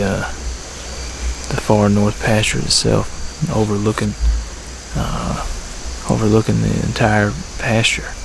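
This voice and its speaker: A man talking casually to camera as a bowhunting vlogger, in a laid-back, halting way, outdoors. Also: fairly low pitch, creaky. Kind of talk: casual vlog talk to camera. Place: outdoors in a tree saddle at a pasture. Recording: outdoor ambience with faint insect noise. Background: insects and crickets.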